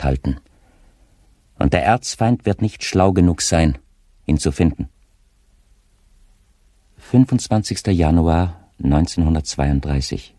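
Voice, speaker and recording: Very deep, haunted, paranoid magistrate. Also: male voice, mellow, no room sound, heavily acted. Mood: grimly scheming, then haunted, weary. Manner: brooding, halting, haunted. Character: mentally disturbed, paranoid magistrate writing a haunted diary. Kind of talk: dramatised diary reading in an audio play.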